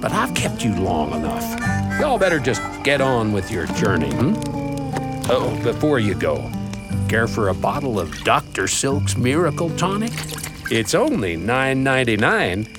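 A homespun frontier storyteller with a drawl and a salesman's wink, his voice swooping through a very wide range. A loud score plays behind him. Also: medium pitch, sing-song, a little slow. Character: folksy grown son narrating an Old West tale. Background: loud music.

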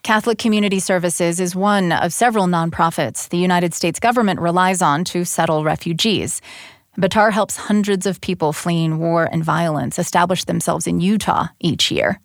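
A woman hosting a radio show, reading scripted narration in a clear, measured way. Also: lowish voice, no echo, studio quality.

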